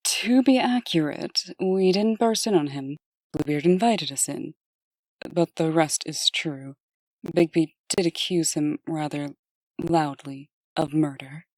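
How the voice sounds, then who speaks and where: A composed deputy played by a woman in a comic dub, dutiful and dryly candid. Her voice is low and formal, measured in delivery and recorded dry. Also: darkish tone, dry recording, low-quality recording.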